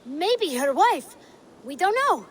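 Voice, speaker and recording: A woman playing a gentle, earnest and apologetic young Viking child in a video game, sincere and a little hesitant. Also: sits high, big pitch swings, slow, a little hiss or hum, heavily acted. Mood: wistful.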